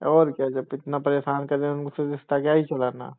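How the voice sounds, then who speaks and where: A man's voice: a little high, flat delivery, fairly quick, no echo. A young man talking casually in a personal phone conversation. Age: young adult. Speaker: boyfriend on a personal phone call. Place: phone call recording. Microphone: telephone. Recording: narrow tinny phone line, dry.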